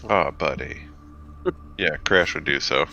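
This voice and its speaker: A man playing a tabletop roleplaying game, casually speaking for his character in a halting, hesitant way. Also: a bass voice, pushed and bright, faint music behind. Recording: dull sound, faint noise.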